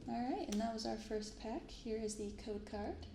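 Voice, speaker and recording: A woman speaking softly in an ASMR style, giving gentle, unhurried casual commentary as host of a card-opening video. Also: on the high side, echoey room, background noise. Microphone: binaural microphone.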